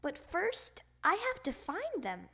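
A woman voicing an excitable, insistent young boy frog who stalls at bedtime in a storybook. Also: very high voice, slow pace, creaky, voice pushed up, heavily acted. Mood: eager. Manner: exclaiming, insistent.

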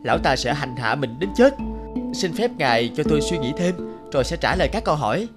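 An actor playing a polite but wary young scholarly traveller in a cartoon fairy tale, expressive and put-upon. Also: male voice, a little high, fairly quick, over music. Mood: wary, muttering.

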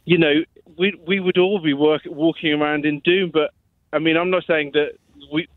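A man's voice: fairly high pitch, fairly even intonation, normal speed, no echo. A man calling a radio phone-in, talking earnestly and hesitantly, apologetic and often repeating himself. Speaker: phone-in caller. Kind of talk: casual, earnest phone-in conversation. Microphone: telephone. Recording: tinny narrow-band phone line.

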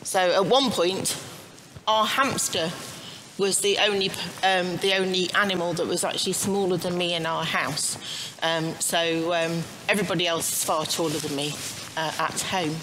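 A middle-aged woman leading an informal church service in a chatty, halting, self-deprecating way. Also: on the low side, steady tone, fairly bright tone, low-quality recording. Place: church, standing before the altar. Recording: noticeable background noise, church ambience.

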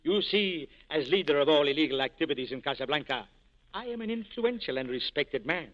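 Courtly, self-important crime boss, lightly high and swooping, vintage radio drama. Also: male voice, lively intonation, a little fast, a bit bright. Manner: courtly, formal. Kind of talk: 1940s radio acting. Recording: dull 1940s radio broadcast recording.

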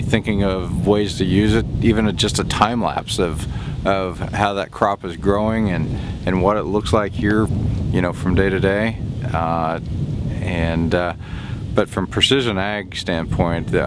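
Man in his forties, farmer, keen with halting starts, recorded outdoors with clear ambient noise. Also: low-pitched, steady tone. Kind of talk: casual interview answers. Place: outdoors in a farmyard under trees.

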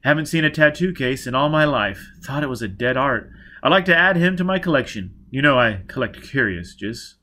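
A smug underworld doctor, acted by a male audiobook reader. He talks in a persuasive, musing way with animated intonation, like an avid collector pleased with himself. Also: medium pitch, a little fast. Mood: eager. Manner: smooth-talking, persuasive, musing. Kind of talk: audiobook character voice.

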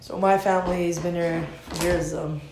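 A man's voice: fairly high pitch, little pitch movement, normal speed, a little mellow, a little hiss or hum, close to an everyday voice. A young man playing a rambling land seller, echoey room. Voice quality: hesitant, full of ums and uhs. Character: easygoing adult male landowner selling property. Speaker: actor in a school play. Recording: echoey room with music behind.

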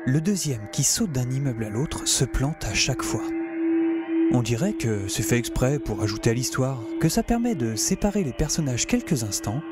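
Casting: actor as sardonic male survivor narrating an audio drama, medium pitch, lively intonation, loud music bed. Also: normal speed, close to an everyday voice. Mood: wry, self-mocking. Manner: sardonic, deadpan humour. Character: wry, self-mocking male survivor narrating his misadventures. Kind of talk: first-person audio serial narration.

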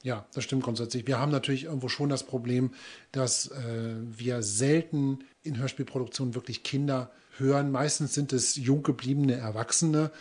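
A man talks through a review as a podcast co-host. His voice sits at a medium pitch, and he speaks conversationally. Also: fairly even intonation, normal speed, darkish tone, breathy edge.

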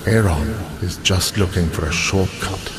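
An actor playing a solemn, earnest elf envoy in a video game, with formal, measured phrasing. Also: male voice, sits low, noisy background.

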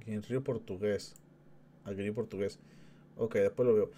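A man's voice: lowish voice, steady tone, a little slow, warm tone, a little hiss or hum. A man streaming casual live commentary as he reacts to a video.

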